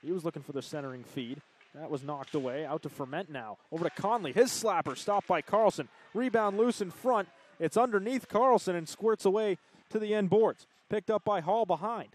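A man calling hockey play-by-play, rattling off passes and shots and surging loud whenever a goal goes in. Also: sits high. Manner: rapid calls that surge loud on goals. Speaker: play-by-play commentator.

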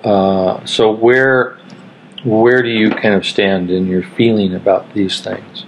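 Low-voiced older man hosting a chat. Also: steady tone, slow pace, a little hiss or hum, low-quality recording. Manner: affirming, encouraging, frequent "right". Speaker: podcast host. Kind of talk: casual interview. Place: home living room.

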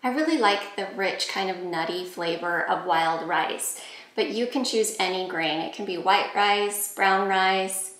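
A woman in her forties calmly walking through cooking steps in a home kitchen, talking to a camera set a few steps back. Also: medium pitch, measured pace, roomy sound, low-quality recording. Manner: calm, friendly step-by-step instructions. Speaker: cooking vlogger. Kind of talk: cooking tutorial voice-over and talk to camera.

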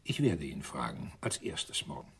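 A man's voice: rumbling low, big pitch swings, normal speed, vocal fry, breathy edge, heavily acted. A male actor playing a dry, sardonic police inspector in a German radio crime play, ironically polite. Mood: firm, ironic.

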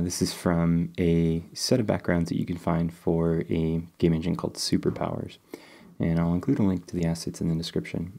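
A man's voice: low voice, vocal fry. A man talking through a game-development tutorial step by step while working on screen, still a bit sniffly from a cold. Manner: relaxed, step-by-step, thinking aloud with fillers. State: sniffly, getting over a cold. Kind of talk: screen-recorded coding tutorial.

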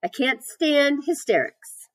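A woman's voice: fairly high pitch, very animated, moderate pace, warm tone, husky, pitched above the performer's own voice. A woman voicing a clever, no-nonsense female spider in a storybook, firm, composed and commanding. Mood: brisk, firm.

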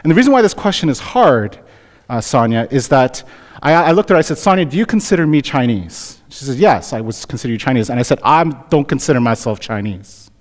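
A man's voice: mid-range voice, lively intonation, fairly quick, big-room sound. A Canadian man, a pastor, delivering a conversational sermon to his congregation, often asking "right?". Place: church sanctuary.

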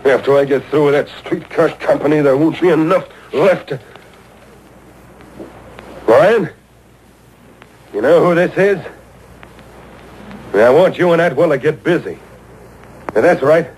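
A man's voice: on the high side, measured pace, long pauses, a little mellow, slight background noise, lightly acted, poor audio. An actor playing a crooked, domineering businessman with a slick manner in old-time radio drama style, on a home cassette recording. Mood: vengeful. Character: scheming, blustering crooked businessman pushing a bus franchise.

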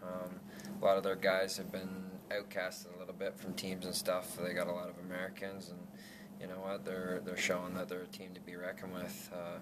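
A young man in his twenties, a lacrosse player, answering reporters after a game in a halting way with many restarts. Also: low-pitched, completely flat delivery. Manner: halting, many restarts and fillers, laughs self-consciously. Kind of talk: post-game media scrum. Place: press backdrop room indoors. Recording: noisy room ambience.

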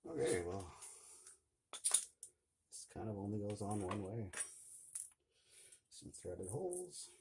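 A man's voice: on the low side, sing-song, slow pace, pauses often. A middle-aged man with a Canadian accent chatting casually and thinking aloud in a laid-back, colloquial way while he works in a garage. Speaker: DIY mechanic video host. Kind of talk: casual chat while working on a mini bike. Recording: clearly noisy garage recording with clicks.

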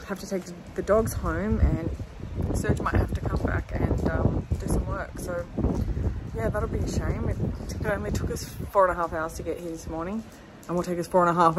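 A woman's voice: fairly low pitch, noisy background. An Australian woman in her thirties chatting casually to her phone camera for a farm vlog, rambling with plenty of fillers. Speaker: farm vlogger. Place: outdoors in a backyard by a house. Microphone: phone held at arm's length.

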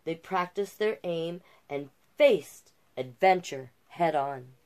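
Slow, low-voiced woman reading a storybook. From Canada. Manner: unhurried, expressive storybook delivery. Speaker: storytime host and drag king. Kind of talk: children's picture-book read-aloud with casual asides. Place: small cluttered room indoors.

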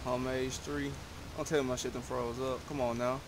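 A man's voice: mid-range voice, little pitch movement, moderate pace. A young man chatting casually in heavy slang and swearing while hosting a gaming session. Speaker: gaming video host. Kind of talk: casual gameplay chat with slang. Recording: clear background noise, game car sounds.

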